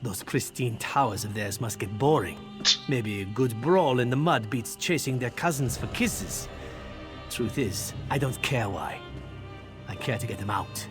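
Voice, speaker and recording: An actor playing a gruff, sardonic military commander in a fantasy video game, speaking bluntly with folksy humor. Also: male voice, medium pitch, animated, a little slow, close to an everyday voice. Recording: game dialogue over prominent music.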